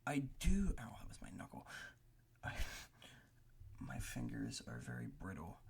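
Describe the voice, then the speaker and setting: Male ASMR creator, heavy vocal fry, very breathy half-whisper, close-miked, hesitant self-deprecating ramble. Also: deep voice, huge pitch swings, unhurried, darkish tone. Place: bedroom at a desk. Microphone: close ASMR microphone.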